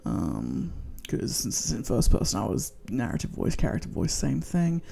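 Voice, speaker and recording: A young Australian woman from Tasmania, chatting casually and thinking aloud as she rates her own writing, self-deprecating and quick to laugh at herself. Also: rumbling low, huge pitch swings, creaky, mellow, husky. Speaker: vlogger. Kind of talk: casual self-rating chat.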